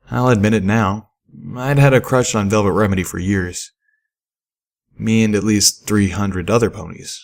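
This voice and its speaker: A man reading a first-person story aloud as a scripted audiobook narration. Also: on the low side. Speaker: fan fiction audiobook narrator.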